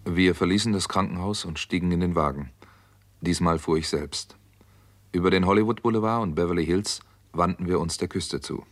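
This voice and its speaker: A man's voice: deep voice, fairly quick, close to an everyday voice. An actor playing a doctor turned sleuth in a 1960s radio crime drama, telling his case in a terse, matter-of-fact way. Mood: grave, matter-of-fact.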